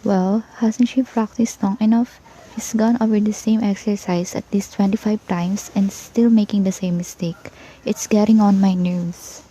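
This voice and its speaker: Husky female voice, very dark tone, woman acting as exasperated studious elder sister, amateur radio play. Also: mid-range voice, steady tone, normal speed, close to an everyday voice, rough recording.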